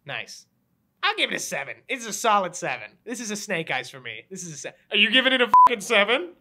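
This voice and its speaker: Podcast host, young man, higher-pitched and very animated, jokey and slangy in casual film-review banter. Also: fast talker, heavy background noise. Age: young adult. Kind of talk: casual movie review chat.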